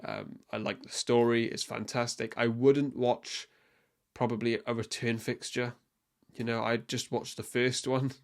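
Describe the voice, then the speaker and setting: Young man, mid pitch, casual podcast host, opinionated, rambling, lots of ums. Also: flat delivery, measured pace. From Wales. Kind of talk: casual solo football podcast.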